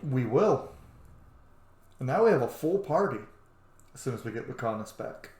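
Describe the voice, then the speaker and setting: A man's voice: medium pitch, very animated, normal speed, a little mellow. Enthusiastic male gamer in a roomy space. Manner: enthusiastic, sweary. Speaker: gamer, let's play host. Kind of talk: casual gameplay commentary. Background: video game music and dialogue.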